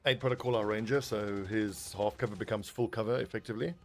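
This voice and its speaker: Man, lowish voice, casual let's-play commentary, thinks out loud, faint music underneath. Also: steady tone, quick pace. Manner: thinks aloud, swears casually. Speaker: gaming video host.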